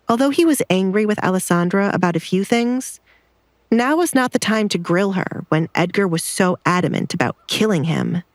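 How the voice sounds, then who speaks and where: A woman's voice: medium pitch, no room sound. A woman narrator reading a scripted romantic drama in a storytelling style.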